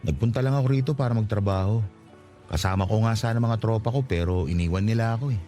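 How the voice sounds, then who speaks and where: A man playing a humble, sincere young job seeker from the provinces in a radio romance drama, candid and gently flattering. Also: fairly low pitch, fast, soft background music, close to an everyday voice. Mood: resigned, candid.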